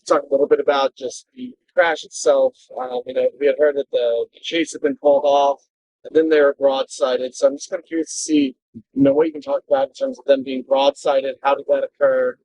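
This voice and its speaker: A man's voice: medium pitch, steady tone, fairly quick, darkish tone, dry recording, low-quality recording. A man working as a reporter, asking questions informally at a press conference.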